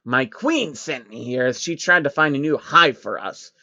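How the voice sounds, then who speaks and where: A man's voice: medium pitch, animated, normal speed, vocal fry, bright, forceful tone, no echo, muffled recording, exaggerated voice. A man voicing a small, laid-back insect-like changeling with a casual, cheeky manner in a storybook reading. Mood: matter-of-fact. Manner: casual, matter-of-fact. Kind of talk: storybook character voice in amateur fan fiction reading.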